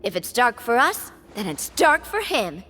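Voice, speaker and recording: A woman's voice: fairly high pitch, very animated, unhurried, sharp tone, heavily acted. A woman voicing a bold, brash female veteran hunter in a video game, speaking with confidence.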